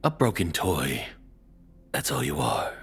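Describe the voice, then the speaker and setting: An extremely low, breathy male voice from an actor plays a cruel, controlling ex in an audio roleplay. He swings expressively between cold taunts and hushed menace, belittling the listener slowly and intimately. Music plays behind him. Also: huge pitch swings, exaggerated voice. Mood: cold contempt. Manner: slow, taunting, drops into whispers.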